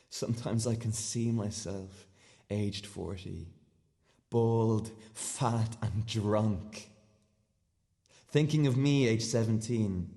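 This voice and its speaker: An actor playing a naive, brooding seventeen-year-old boy in a confiding, halting radio play monologue. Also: on the low side, mellow. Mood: troubled, confiding. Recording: long hall-like reverb.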